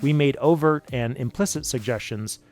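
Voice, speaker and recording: A man's voice: mid-range voice, normal speed, darkish tone. Man narrating an explainer over music. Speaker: video production narrator. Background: background music.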